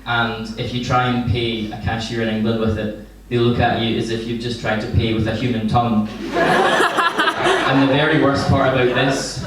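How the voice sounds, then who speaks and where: A young man from Scotland with a Glaswegian accent, doing a stand-up set of deadpan one-liners. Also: mid-range voice, normal speed. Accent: Glaswegian Scottish. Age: young adult. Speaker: stand-up comedian. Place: comedy venue before an audience. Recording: echoey live room, distant mic, noisy.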